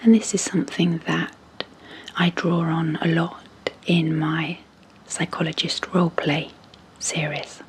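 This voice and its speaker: A woman speaking in a breathy half-whisper as an ASMR host, slowly and gently, with long pauses, introducing sleep hypnosis. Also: low-pitched, level tone, a little hiss or hum, low-quality recording.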